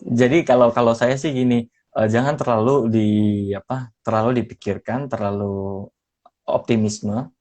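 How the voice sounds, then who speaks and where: A young man from Semarang talks easily on a podcast, his Indonesian lightly mixed with regional accents. He pauses often, filling gaps and restarting phrases. His pitch sits in the middle of the male range. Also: fairly even intonation, darkish tone. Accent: neutral Indonesian with slight mixed regional traces. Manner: hesitant, many fillers and restarts. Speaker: podcast guest, former radio announcer. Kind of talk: casual interview chat.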